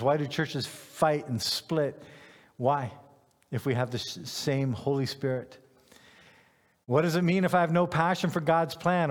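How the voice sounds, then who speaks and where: A middle-aged man from Ghana, a pastor giving an informal, conversational sermon in an anecdotal, self-deprecating way. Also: fairly high pitch. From Ghana, West Africa. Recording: long hall reverb.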